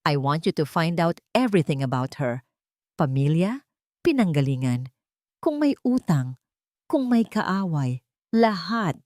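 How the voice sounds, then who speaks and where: A woman reads the part of a wealthy widowed father, guarded and cold. He gives orders in a low, flat, emotionless voice. The sound is close and dry. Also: measured pace, long pauses, dry recording. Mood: cold commanding.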